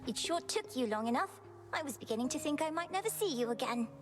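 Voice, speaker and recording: An actress playing a young goddess child in a video game, eager, innocent and teasing. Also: female voice, high-pitched, animated, fast. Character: little goddess girl, bright, fond and playful. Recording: music behind the voice. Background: game music.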